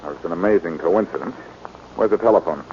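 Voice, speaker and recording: A man's voice: sits low, fast talker, close to an everyday voice. A man playing a cool, sardonic reformed-thief detective in 1940s radio acting. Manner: cool, sardonic, lively delivery. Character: wry, confident reformed-thief sleuth. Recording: muffled old radio transfer with hiss.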